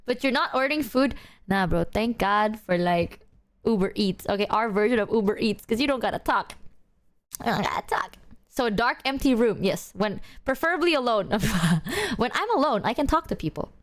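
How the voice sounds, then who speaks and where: Young streamer woman, bouncy high voice, jokey to chat, desk mic. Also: expressive, moderate pace. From Indonesia. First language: Indonesian. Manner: playful, jokey, addresses chat, slangy. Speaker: VTuber streamer. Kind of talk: casual live-stream chat to viewers.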